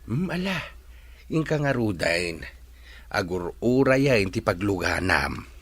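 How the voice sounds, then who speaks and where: Devout older father, Ilocano accent, husky low voice, radio serial. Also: sing-song, slow pace, a bit bright, heavily acted. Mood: tender advice. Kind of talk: Philippine radio drama acting.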